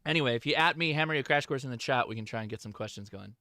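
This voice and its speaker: A man's voice: medium pitch, racing, pushed and bright, no echo, studio quality. A man hosting a livestream, answering chat questions in a casual, informal, enthusiastic hobbyist way.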